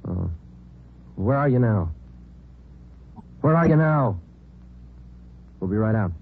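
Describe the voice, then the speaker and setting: An actor playing a police detective who narrates the case in a clipped, flat, matter-of-fact way, in a 1950s radio drama. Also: male voice, on the low side, slow pace, heavily acted. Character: terse police detective sergeant narrating, middle-aged man. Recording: narrow-band old radio broadcast with music.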